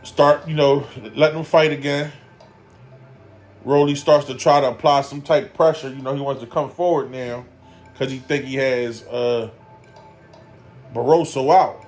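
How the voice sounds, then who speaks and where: A man in his forties delivers a loose, rambling boxing breakdown, his voice sitting at a middle pitch. He hammers the same points again and again, padding his lines with easy fillers. Faint music plays quietly behind him. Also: steady tone, moderate pace, long pauses. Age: 40s. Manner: emphatic, repeats his points for effect. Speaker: boxing commentary vlogger. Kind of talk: casual boxing breakdown vlog.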